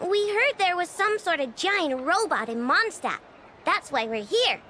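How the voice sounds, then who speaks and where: A woman's voice: very high-pitched, very animated, a little slow, sharp tone, a little hiss or hum, full character voice. A woman voicing an eager, bossy young girl inventor in a video game. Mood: eager, excited. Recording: game audio with music behind.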